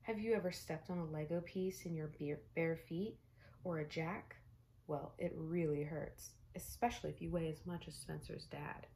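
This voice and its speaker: A young woman reading a picture book aloud in a bedroom, adding gentle chatty asides for her listeners. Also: sits low, steady tone, normal speed, slight background noise. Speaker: storybook reader. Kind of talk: read-aloud storytime. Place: bedroom, indoors.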